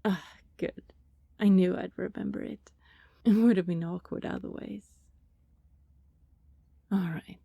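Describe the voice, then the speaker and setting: A soft-spoken female vampire neighbor, acted by a woman in an intimate ASMR roleplay, friendly and fussing but keeping secrets. The voice is mellow and breathy with plenty of fry, often sinking toward a whisper, and the recording is very dry and close. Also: lowish voice, steady tone, measured pace, long pauses, no room sound, heavily acted.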